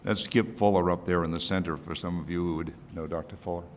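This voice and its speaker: Deep-voiced older man at a podium. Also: fairly even intonation, fast talker. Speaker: keynote speaker. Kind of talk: prepared speech read from notes. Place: event hall at a podium. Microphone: podium microphone. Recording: faint rumbly noise, podium microphone.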